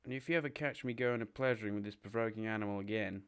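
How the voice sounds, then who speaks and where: A man playing a practical, exasperated water rat in an audio drama, brisk and matter-of-fact. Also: lowish voice, a bit bright, clear, resonant tone. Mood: fed up. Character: practical, exasperated male water rat, bossy old friend.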